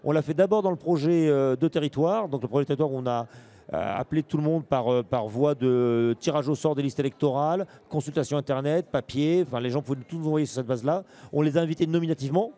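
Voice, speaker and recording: Fast-talking, mellow-voiced man on a panel. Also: a little high. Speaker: local elected official on a panel. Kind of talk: panel discussion, unscripted argument.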